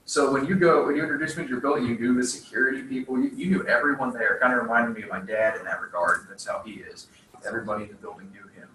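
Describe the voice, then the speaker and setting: Quick, bright-voiced man hosting a talk. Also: medium pitch. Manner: upbeat, quick, affirming. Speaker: career services host. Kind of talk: casual interview. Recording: echoey room, far from the mic, faint hiss.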